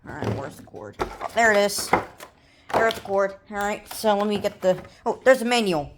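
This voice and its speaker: A woman giving a casual, rambling, halting show-and-tell of gear as a hobbyist presenter. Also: mid-range voice, animated. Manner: halting, rambling, improvised delivery. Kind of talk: casual product showcase. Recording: noisy room with clicking handling sounds. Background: noise, clicks, radio broadcasts.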